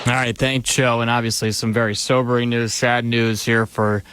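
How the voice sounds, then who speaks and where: A man hosting a radio pregame show from the studio, moving from somber remarks on sad news to brisk sponsor reads. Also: mid-range voice, level tone, a bit bright, clear, resonant tone, no room sound. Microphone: studio microphone.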